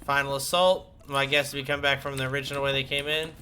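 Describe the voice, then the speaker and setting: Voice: mid-range voice, flat delivery, fast talker, noisy background. A streamer giving casual commentary while playing a video game, with keyboard clicks around the voice.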